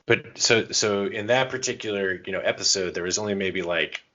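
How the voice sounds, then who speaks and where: Really deep voiced guy chatting casually on a podcast, kinda muffled sound. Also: moderate pace, pushed and bright. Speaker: podcast co-host. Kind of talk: casual chat giving gaming advice. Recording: dull, muffled lo-fi podcast audio.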